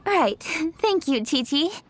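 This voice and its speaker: A woman's voice: high-pitched, lively intonation, slow, fairly bright tone, a little hiss or hum, exaggerated voice. A woman playing a dutiful young noble official in a video game, composed, polite and businesslike. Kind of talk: video game cutscene acting. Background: background music.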